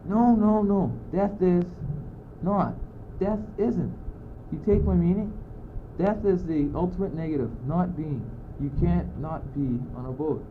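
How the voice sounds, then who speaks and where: A man's voice: high voice, unhurried. Teenage boy acting pedantic courtier, tinny noisy recording. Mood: emphatic, exasperated. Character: argumentative, muddled but bossy male courtier, reasoning pedantically. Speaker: student actor. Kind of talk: amateur school radio play. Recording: muffled, tinny old amateur recording, noisy.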